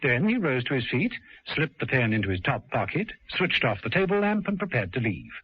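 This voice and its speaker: Male narrator, measured, lively delivery, a bit husky; dull radio sound. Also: medium pitch. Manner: measured storytelling.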